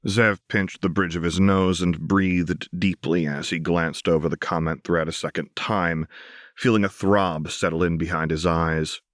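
Low-voiced man narrating fiction, close and dry. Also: fairly even intonation, measured pace, fairly bright tone, no room sound. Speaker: audiobook narrator. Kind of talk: scripted fiction narration with character dialogue.

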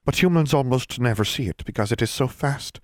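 A proud old hill elf with a crafty streak, as a man reads him aloud in an audiobook. He speaks in formal, old-fashioned phrases, lifted somewhat above the reader's own low register. The delivery is dry and close, with no room sound. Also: animated, a little fast, no echo, natural voice. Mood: formal, wary. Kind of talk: audiobook character voice.

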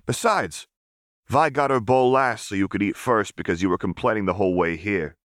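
A man's voice: lowish voice, normal speed, a bit bright, no room sound, studio quality, voice pushed up, close to an everyday voice. A man voicing a big, blunt, hearty teenage street boy. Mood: scolding.

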